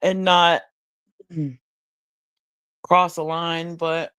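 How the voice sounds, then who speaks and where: A woman hosts a late-night stream, speaking slowly and bluntly with plenty of profanity. She is high and drifts through her thoughts with restarts and pauses. The sound is dry and close, with no room around it. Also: flat delivery, fairly bright tone, smooth, no room sound. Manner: blunt, profane, slow and musing with frequent restarts. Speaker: livestream host. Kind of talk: late-night casual commentary. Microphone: streaming mic.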